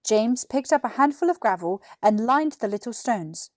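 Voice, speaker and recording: A British woman in her twenties reading a children's story in an expressive, clearly articulated narration. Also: a little high, normal speed, dry recording, studio quality. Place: small furnished room indoors. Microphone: lapel mic.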